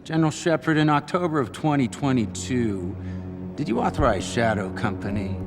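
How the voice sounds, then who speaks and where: A man's voice: medium pitch, animated, moderate pace, natural voice. An actor playing a male official who formally questions a general at a hearing. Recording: echoey hearing hall with music.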